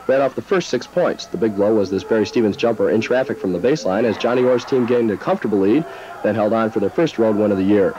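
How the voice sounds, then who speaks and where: A man with an American accent delivering a scripted highlights report as a TV sports reporter. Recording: muffled, very noisy old broadcast tape.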